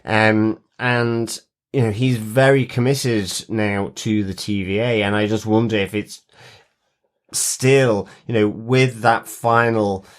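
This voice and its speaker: A man co-hosting a podcast, talking casually through a TV episode in halting phrases that often break off and resume. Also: on the low side, fairly even intonation. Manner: halting, hesitant phrasing with broken-off sentences. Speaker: podcast host. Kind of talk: casual TV recap discussion.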